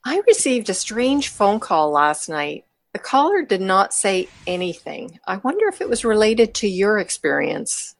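A woman's voice: mid-range voice, big pitch swings, a little slow, few pauses. A woman playing a mischievous, eccentric woman chatting with a friend in an audio drama. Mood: thoughtful. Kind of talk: amateur audio drama dialogue.

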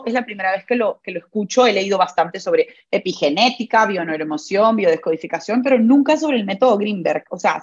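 A woman's voice: mid-range voice, muffled recording. A woman hosting a podcast, introducing her guest and asking curious, enthusiastic questions with plenty of fillers. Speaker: podcast host. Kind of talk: casual interview hosting.

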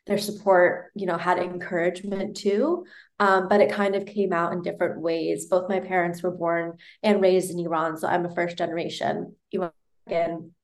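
A young woman from New York, a podcast guest telling her personal story in a bright, giggly way. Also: fairly low pitch, level tone, normal speed. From United States, New York.

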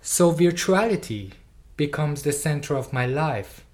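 Measured speaker. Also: medium pitch, animated. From Iran. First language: Farsi. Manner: measured, personal and earnest. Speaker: artist and doctoral researcher. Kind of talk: reflective lecture performance.

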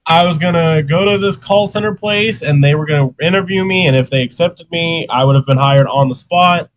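A man's voice: fairly high pitch, fairly quick, poor audio. A young man who is sick and coughing, hosting a casual podcast chat in a rambling, profane way. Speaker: podcast host.

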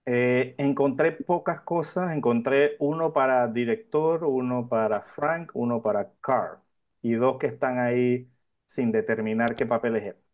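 A man's voice: mid-range voice, measured pace. Man with mid-range voice, podcast co-host. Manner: brief agreeing replies, calm explanations. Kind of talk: casual movie review chat.

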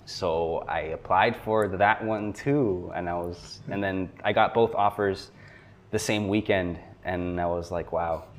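A young man with a fairly low voice chats as a guest on a tech podcast. He is from South Florida and talks in a loose, rambling way, with frequent laughs and filler words. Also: a little slow, a little hiss or hum. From South Florida, USA. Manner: relaxed, rambling, laughs often. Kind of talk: casual conversation.

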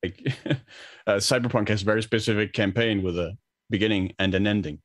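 Hesitant, lowish-voiced man in casual discussion. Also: steady tone, no room sound, studio quality. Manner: hesitant, halting, repeats words while thinking. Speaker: podcast co-host. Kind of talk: casual unscripted gaming discussion.

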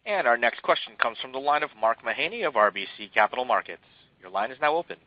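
A man's voice: mid-range voice, expressive, fast, no echo. A man speaking as a conference call operator, giving scripted announcements that introduce the next caller. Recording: dull, dry conference call audio.

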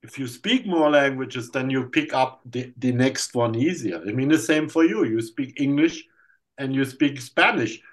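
A man's voice: medium pitch, normal speed. A man who grew up in Germany with German as his first language, co-hosting a podcast in a casual, explaining way. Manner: explaining, frequent "right?" and "I mean". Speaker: podcast co-host.

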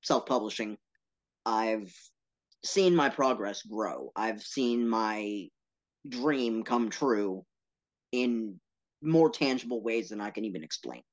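A man talking as a podcast guest about his work, earnest and animated, with playful asides. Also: on the low side, takes it slowly, dull audio. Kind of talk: casual interview talk.

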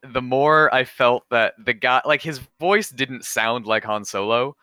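A man's voice: medium pitch, unhurried, pushed and bright, clean tone, no echo, studio quality. A man co-hosting a podcast in casual, conversational panel chat. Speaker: podcast co-host.

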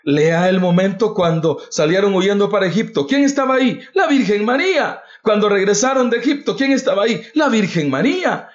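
Fervent man preaching, lively pitch. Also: a little fast, few pauses. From El Salvador. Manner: fervent, calls listeners "brother", repeats rhetorical questions. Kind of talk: livestreamed devotional talk. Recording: dull lo-fi recording.

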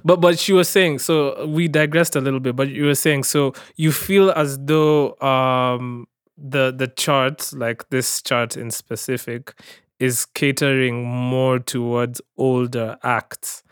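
A young man with a mid-pitched voice co-hosts a podcast in relaxed, casual chat. His voice is smooth and clear, and he asks questions and steers the conversation. The recording is close and dry. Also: slow pace, no echo. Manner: inquisitive, steers the talk, reads out chart details. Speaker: podcast co-host.

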